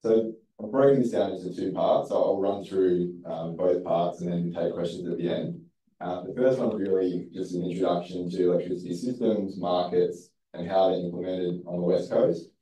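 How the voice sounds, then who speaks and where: An Australian man from Western Australia giving a seminar presentation in a low, mumbly voice, relaxed and full of ums. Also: moderate pace. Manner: relaxed, many ums and restarts. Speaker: guest presenter. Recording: echoey room, distant from the mic.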